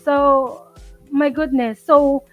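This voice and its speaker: Filipino woman hosting a live Bible study, high and slow, earnest, exclamatory, with many restarts. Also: fairly even intonation, phone-quality sound, soft background music. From Philippines. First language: Bisaya (Cebuano).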